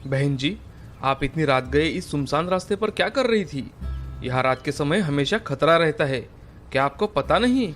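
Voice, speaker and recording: An actor playing a poor, hardworking village laborer who is a kind father, speaking in a gentle, earnest way in an animated moral story. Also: a little high, normal speed, soft background music. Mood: concerned.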